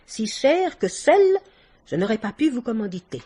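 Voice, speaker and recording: A woman's voice: a little high, very animated, normal speed, warm tone, breathy edge. An actress playing a worldly, domineering older society woman in a vintage French radio drama, theatrical and effusive with little laughs. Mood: sly, insistent.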